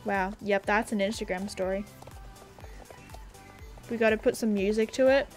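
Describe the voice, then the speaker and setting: A woman's voice: mid-range voice, moderate pace, soft background music. A young woman streaming a horse game, chatting casually from home on a headset and reading viewer messages aloud. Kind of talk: casual livestream chat. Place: home room at a gaming setup.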